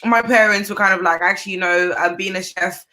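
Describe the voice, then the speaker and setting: Bright-voiced young woman chef from Zimbabwe. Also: mid-range voice, fairly even intonation, fairly quick. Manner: chatty, laughs easily, uses fillers. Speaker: chef, guest. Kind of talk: casual interview chat.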